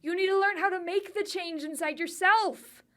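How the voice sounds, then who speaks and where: A woman's voice: very high-pitched, steady tone, moderate pace, sharp tone. A woman playing an exasperated, tough-loving friend in a naturalistic dramatic monologue, blunt and reproachful. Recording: music bed behind voice.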